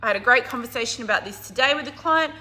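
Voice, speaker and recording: A middle-aged woman business coach with a hoarse, husky, croaky voice, talking casually and emphatically to a live audience. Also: a little high, expressive, very fast. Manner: enthusiastic, emphatic, swings from loud to quiet. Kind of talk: casual livestream talk.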